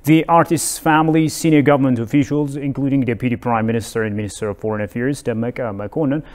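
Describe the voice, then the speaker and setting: A male news anchor reads his script in a formal, even voice of middle pitch. He hands over to the reports. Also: quick pace.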